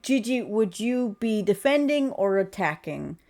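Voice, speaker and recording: A woman running a tabletop roleplaying game as game master, explaining rules in a halting way. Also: medium pitch, expressive, darkish tone.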